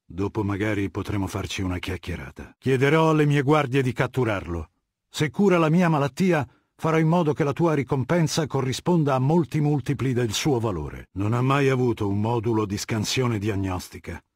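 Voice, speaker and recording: A male actor playing an imperious, menacing middle-aged warlord in a video game, commanding, cold and condescending, with a husky voice. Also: medium pitch, animated, moderate pace, darkish tone, no echo, studio quality. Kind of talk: video game dialogue, Italian dub.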